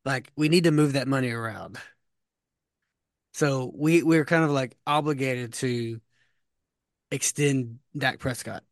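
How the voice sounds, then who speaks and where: A man's voice: medium pitch, measured pace, pauses often, no echo, studio quality. A man co-hosting a casual sports podcast, rambling and repeating himself as he talks. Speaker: podcast co-host.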